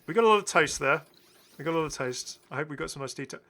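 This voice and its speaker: A man's voice: a little high, animated, very fast, sharp tone. A British man giving casual, enthusiastic, rambling commentary as the host of a gaming video. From Britain. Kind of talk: casual commentary over game footage.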